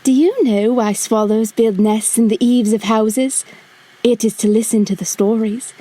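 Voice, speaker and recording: A woman's voice: on the high side, measured pace, warm tone, rough recording. A woman playing a cocky, adventurous magical boy in an audio drama. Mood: eager, enticing.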